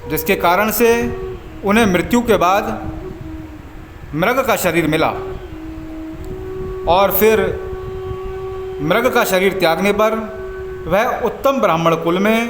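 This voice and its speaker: A man narrating a scripted religious story as a devotional storyteller, in measured, formal phrases with frequent pauses. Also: sits high, background noise. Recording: echoey voice over background music. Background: background music.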